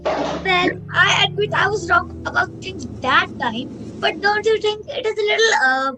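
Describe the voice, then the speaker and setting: A woman's voice: very high voice, a little slow, loud music behind the voice, poor audio. A woman playing a nervous, imaginative young boy in a radio play, anxious and protesting. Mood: doubtful, protesting. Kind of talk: amateur radio play acting.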